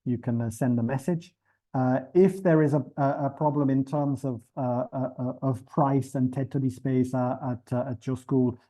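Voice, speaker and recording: A man giving an unscripted webinar presentation for teachers, pausing often with fillers and restarting his sentences. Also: medium pitch, dark tone. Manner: hesitant, many fillers and restarts.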